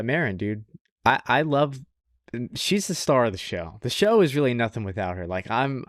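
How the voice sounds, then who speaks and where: A man's voice: on the low side, dry recording, studio quality. A man co-hosting a podcast, chatting casually and enthusiastically with plenty of slang and some swearing. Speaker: podcast co-host. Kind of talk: casual podcast chat about anime.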